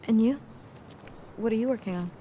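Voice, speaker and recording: A woman's voice: a little high, brisk, dark tone, a little hiss or hum, close to an everyday voice. A North American woman in her twenties playing a determined, vulnerable fugitive in a cinematic game, with earnest, intense, natural delivery. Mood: guarded, curious. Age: 20s. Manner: earnest, intense, plain-spoken.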